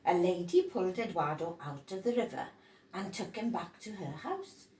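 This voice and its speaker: A woman reading a children's storybook aloud in a dramatic, animated way, stressing key words. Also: fairly low pitch, moderate pace, slight background noise. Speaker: storybook reader. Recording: roomy echo, distant from microphone.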